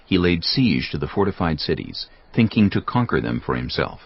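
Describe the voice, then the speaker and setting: A man giving a solemn, measured, scripted narration of scripture as part of a dramatised audio production. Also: sits low, big pitch swings, slightly rough, highs cut off. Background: music.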